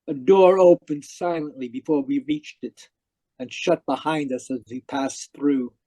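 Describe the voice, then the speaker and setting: A tormented dead man, played by an actor, tells his tale in old radio mystery style. His voice sits fairly high and very soft-toned, halting and dramatic as he pleads with the living. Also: no echo. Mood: haunted, weary. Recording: live video call performance.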